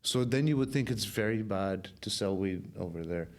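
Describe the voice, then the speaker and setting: A young man with a fairly low voice speaks as a podcast guest. His Irish accent is toned down with some American colouring. He tells things in a candid, informal way, with slang and swearing. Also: expressive, moderate pace. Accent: softened Irish, somewhat Americanized. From Ireland. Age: young adult. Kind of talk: candid casual storytelling.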